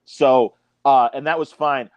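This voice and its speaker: A middle-aged man telling lively anecdotes in a casual chat, acting out quotes and laughing often. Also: mid-range voice, measured pace, clear, resonant tone, no echo. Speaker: podcast guest.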